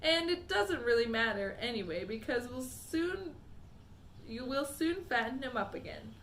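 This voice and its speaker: A woman voicing a cheery, eccentric male candy maker who talks in a brisk, breezy, confident way. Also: on the high side, lively intonation, a bit bright, slight background noise. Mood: offhand.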